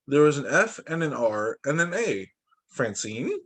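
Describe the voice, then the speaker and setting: Animated, slightly husky man reading storybooks. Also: medium pitch, a little slow. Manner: expressive, acts out dialogue for young children. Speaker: teacher. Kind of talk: children's storybook read-aloud.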